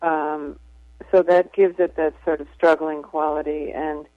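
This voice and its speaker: A woman violist with a low speaking voice, a radio guest talking thoughtfully with many fillers over the phone. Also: flat delivery, a little slow, no room sound. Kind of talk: casual interview chat. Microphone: phone call. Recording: tinny phone line.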